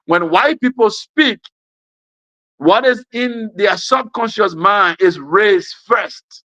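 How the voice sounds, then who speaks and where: A man's voice: high-pitched, slow pace, bright, forceful tone, no room sound, lo-fi sound. A man with a West African accent gives an impassioned political speech, emphatic and repeating phrases for effect. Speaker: speaker giving an address.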